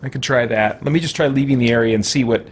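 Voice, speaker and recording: A man's voice: medium pitch, fast. A man giving casual gameplay commentary, puzzling out his options aloud as he plays, with background noise. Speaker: let's play host.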